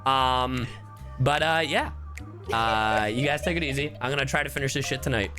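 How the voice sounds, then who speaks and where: A man's voice: mid-range voice, moderate pace. A man chatting casually as a gaming streamer, joking and swearing freely. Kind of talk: casual gaming livestream chat. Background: game music.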